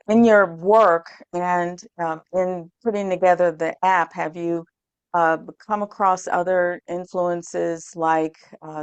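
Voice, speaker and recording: Woman host, very slow, smooth and clear, dry close sound, hesitant questioner. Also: lowish voice, no echo. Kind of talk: interview questions.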